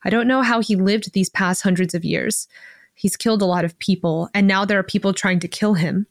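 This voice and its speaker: A woman's voice: mid-range voice, fairly even intonation, brisk, no room sound, clean studio sound, natural voice. Woman as an earnest, troubled young prince. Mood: quiet worry. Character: troubled, sorrowful young prince who turns angrily threatening.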